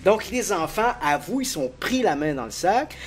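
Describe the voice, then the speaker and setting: A man explaining a paranormal case in detail as a guest, lively and quick to laugh. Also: high-pitched. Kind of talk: casual explanatory storytelling.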